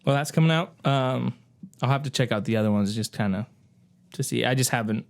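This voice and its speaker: A man's voice: mid-range voice, fairly quick, vocal fry. A man with a middle-range voice hosts a podcast. He talks through news items in a casual, conversational way. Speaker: podcast host.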